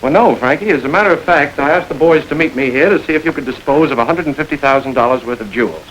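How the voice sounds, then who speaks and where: An actor playing a suave gentleman crook, quick and wry. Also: on the high side, slight background noise, close to an everyday voice, low-quality recording. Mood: urbane. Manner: urbane, wry and smoothly commanding. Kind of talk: 1930s film crime drama.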